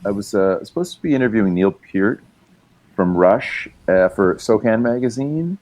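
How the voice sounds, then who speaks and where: Deep-voiced man telling rambling stories. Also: moderate pace, rough recording. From Canada. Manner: rambling, animated storyteller with many fillers. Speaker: guest musician and writer. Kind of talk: casual storytelling chat.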